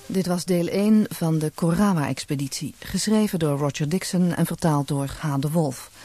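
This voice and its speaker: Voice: medium pitch, normal speed, warm tone, faint music behind. An announcer reading scripted closing credits for a radio play.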